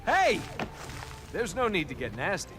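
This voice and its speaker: Lively, high-pitched young roguish hero. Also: male voice, a little slow, music in the background, full character voice. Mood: wry, teasing. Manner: sarcastic, flippant banter. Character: young roguish wisecracking adventurer, male hero. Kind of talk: video game voice acting.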